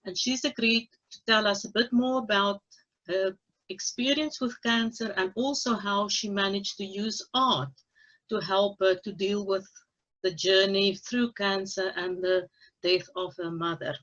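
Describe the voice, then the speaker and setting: A woman hosts an interview over a video call and speaks in a calm, measured, encouraging way. Her voice sits at a middle pitch. The audio is slightly dull and lo-fi. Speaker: cancer recovery coach and interviewer.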